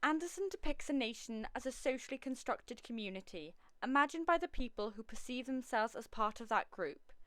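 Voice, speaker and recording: A woman's voice: a little high, no room sound. A woman narrating a documentary from a script in a formal, academic manner.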